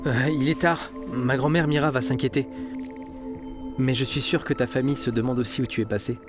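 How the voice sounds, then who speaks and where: A man's voice: mid-range voice, fairly even intonation, brisk, over music, lightly acted. An actor playing a shy, smitten young man in an audio story, with a hesitant and awkward manner. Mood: awkward, gentle. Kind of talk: French audio story acting.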